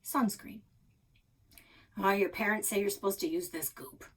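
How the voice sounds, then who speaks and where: A woman's voice: medium pitch, normal speed, breathy edge, close to an everyday voice. A woman voicing a wry, grumbling older grandfather with a folksy, joking manner, in a children's book read-aloud.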